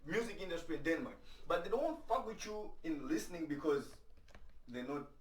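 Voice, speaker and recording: A male host with a lively, up-and-down voice pushes his guest with questions and murmurs along as the guest talks. He sounds roomy and distant from the mic, with a faint hiss underneath. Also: a little high, brisk. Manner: lively, pushy questions, frequent backchannel murmurs. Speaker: radio show host. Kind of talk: casual studio chat. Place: radio studio room.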